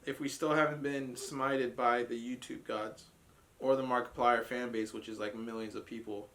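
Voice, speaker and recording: A man's voice: medium pitch, steady tone, normal speed, fairly bright tone, slight background noise. A man co-hosting a casual reaction podcast, blunt and profane, ranting with frequent laughs. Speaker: podcast co-host reviewing a trailer. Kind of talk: casual group reaction chat.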